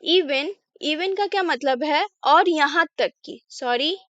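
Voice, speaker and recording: Slow, high-voiced Indian woman teaching English. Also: a bit bright, no room sound, muffled recording. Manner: patient, asks questions then answers them herself. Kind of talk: slow line-by-line English lesson explained in Hindi.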